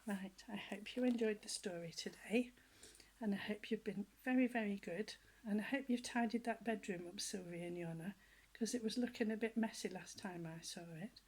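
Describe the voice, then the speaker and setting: An older woman with a soft, breathy voice reads a children's story aloud. She tells it gently and lively, sliding into hushed whispers for the exciting parts and asking her small listeners questions as she goes. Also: medium pitch, normal speed, low-quality recording. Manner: talks directly to young listeners with questions. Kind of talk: children's storybook reading with personal asides.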